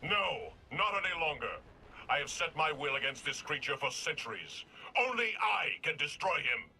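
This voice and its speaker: A man's voice: fairly high pitch, expressive, moderate pace, vocal fry, bright, forceful tone, heavily acted. An actor playing an obsessed, proud male leader in a video game, speaking in a commanding, scornful manner.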